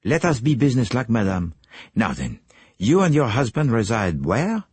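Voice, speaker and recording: Narrator playing a polite sleuth, formal and probing, husky, lively delivery. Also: male voice, mid-range voice, normal speed, no echo, pitched above the performer's own voice. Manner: formal, businesslike, probing questions. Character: courteous, precise foreign male detective, middle-aged.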